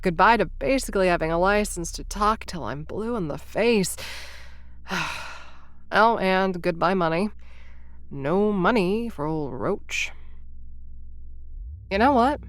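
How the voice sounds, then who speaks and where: A woman's voice: mid-range voice, lively intonation, slow, pauses often, no echo. A woman playing a scatterbrained young would-be influencer and time traveler, narrating to the listener in a bubbly, impulsive, rambling way. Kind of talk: audio drama narration to the listener.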